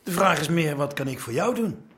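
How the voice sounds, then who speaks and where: An actor playing a sly, insinuating crooked policeman with a gravelly voice in a radio drama. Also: on the high side, animated, a little fast, fairly bright tone.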